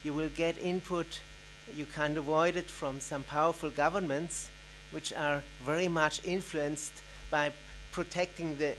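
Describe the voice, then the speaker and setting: A man from an NGO, asking a formal question from the floor at a conference in a measured, deliberate way. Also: fairly high pitch, a little hiss or hum, rough recording. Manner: measured, deliberate, with occasional uhs. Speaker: NGO representative, audience member. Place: conference hall.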